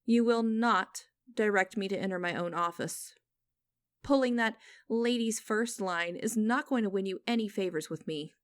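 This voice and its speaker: A woman playing a stern, mocking young company boss in a scripted audio roleplay, speaking in a formal, deliberate and condescending way. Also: medium pitch, no echo, lightly acted. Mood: stern, commanding.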